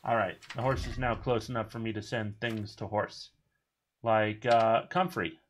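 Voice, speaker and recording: A man giving casual, rambling commentary while playing a video game, with a booming voice. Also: fairly even intonation, a little slow, a little hiss or hum. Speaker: streamer.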